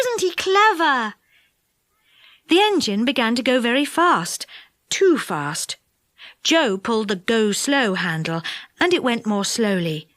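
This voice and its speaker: A woman narrating a children's storybook in an expressive, animated way. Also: on the high side, dry recording, clean studio sound. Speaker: audiobook narrator.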